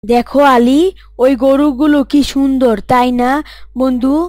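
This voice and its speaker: A woman voices a polite, upbeat young man in an animated story, at a fairly high pitch. The sound is close and dry. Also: measured pace, dry recording.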